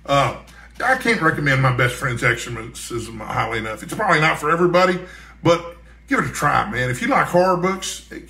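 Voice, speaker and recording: A man's voice: fairly high pitch, pushed and bright. A middle-aged man chatting casually to viewers as a book vlogger, with conversational asides, recorded in a roomy space. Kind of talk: casual chat to camera, answering a list of book questions. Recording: roomy, echoey sound.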